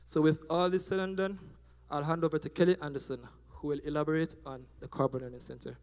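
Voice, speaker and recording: Young Jamaican man, student presenter, animated and playful, handheld mic in a reverberant auditorium. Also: a little high, quick pace, smooth. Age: young adult. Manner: animated, joking, checks in with the audience. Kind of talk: class presentation on stage. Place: auditorium stage. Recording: hall reverb.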